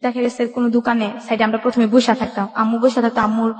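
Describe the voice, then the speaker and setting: A woman's voice: fairly high pitch, little pitch movement, fast, darkish tone. A young woman with a colloquial Bangladeshi accent telling a personal story as a radio guest, in run-on everyday speech, recorded with an echoey reverb. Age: young adult. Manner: colloquial, run-on recounting with quoted dialogue. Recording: echoey room with long reverb.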